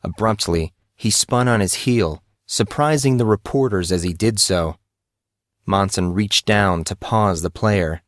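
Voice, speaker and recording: A man reading scripted narration for an audio drama in a steady storytelling voice. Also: lowish voice, unhurried, no room sound, studio quality.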